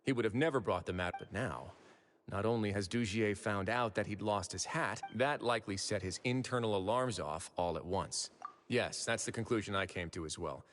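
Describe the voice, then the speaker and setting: A man plays a calculating video game investigator with a low voice. He is measured, courteous and wry, heard in long reverb with some noise behind him. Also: fairly bright tone, lightly acted. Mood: explaining, self-assured. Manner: measured, courteous, self-assured. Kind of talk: video game character acting.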